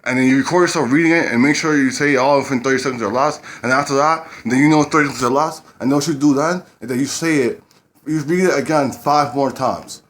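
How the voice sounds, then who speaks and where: Fast-talking man giving blunt advice. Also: mid-range voice, fairly bright tone. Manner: rambling, repeats himself, blunt street slang. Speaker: dating advice vlogger. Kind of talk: casual talk to camera.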